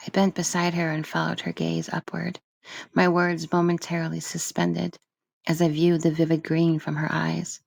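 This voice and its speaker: A woman reading literary first-person narration for an audiobook in a measured, expressive storytelling manner. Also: deep voice, gravelly, dry recording. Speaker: audiobook reader.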